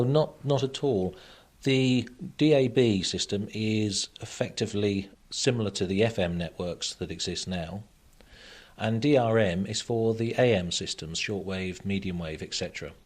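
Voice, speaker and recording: Matter-of-fact man with a lowish voice. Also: normal speed, a little mellow. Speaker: radio technology expert guest. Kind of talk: explanatory interview answers.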